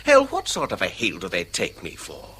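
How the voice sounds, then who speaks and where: A man's voice: low voice, huge pitch swings, moderate pace, sharp tone, slightly rough, heavily acted. A man playing an anxious, hesitant, apologetic husband eager to please in a radio drama. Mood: defensive. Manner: hesitant, repeats and breaks off sentences. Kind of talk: British stage-style radio drama acting.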